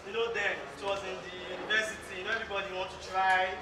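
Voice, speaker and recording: A man's voice: high voice, level tone, fast talker, a crowd in the background, close to an everyday voice. A man with a Nigerian accent acting a touchy young husband in a live stage play, emphatic and repeating himself. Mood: defensive. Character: touchy, devout young husband, quick to take offence. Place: theatre stage, indoors. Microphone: far camera. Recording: echoey noisy hall, distant mic.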